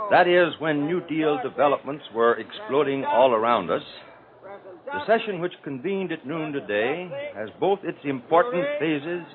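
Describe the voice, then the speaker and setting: A man giving formal 1930s radio news commentary in long, measured sentences. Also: medium pitch. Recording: tinny 1930s radio with crowd behind.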